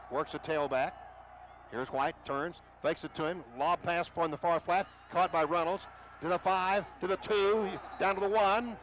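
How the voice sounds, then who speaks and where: A man calling a football game play by play for radio, rising in pitch and volume on big plays. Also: fairly bright tone. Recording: noisy stadium broadcast.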